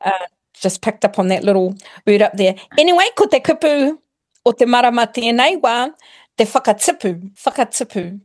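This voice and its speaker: A middle-aged woman with a New Zealand accent talks like a teacher, laying out examples one after another. She pauses to rephrase and restart, steady and friendly. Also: fairly high pitch. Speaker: guest, primary school teacher. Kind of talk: casual explanatory chat, mixing Māori and English.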